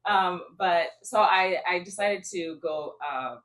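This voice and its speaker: A woman's voice: on the low side, takes it slowly, a bit bright, poor audio. A young woman from New York, a TV writer guest telling her story on a casual podcast in a self-assured way.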